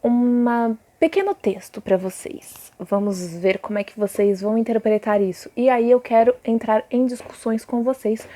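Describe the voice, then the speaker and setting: A woman's voice: fairly high pitch, moderate pace, few pauses, mellow. A Brazilian woman teaching an informal recorded lesson, addressing pupils directly and reading aloud. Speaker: schoolteacher.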